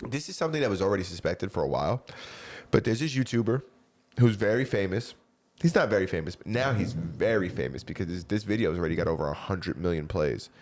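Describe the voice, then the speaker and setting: A man's voice: low voice, lively intonation, quick pace, a little mellow. A man co-hosting a podcast, chatting casually with loose, profane, rambling talk full of fillers. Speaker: podcast co-host.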